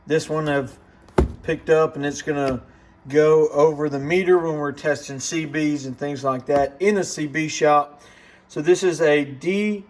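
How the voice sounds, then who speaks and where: A man hosting a casual unboxing and gear demonstration, talking in a low-key, plain-spoken, understated way. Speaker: host of a radio gear review channel. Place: indoor workbench in a radio shop. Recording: clearly noisy room recording.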